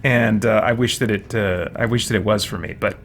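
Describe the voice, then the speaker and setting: A man's voice: fairly low pitch, fairly even intonation, fairly quick, fairly bright tone, slight background noise. A man giving casual let's-play commentary as a game streamer, thinking aloud as he goes. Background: game music.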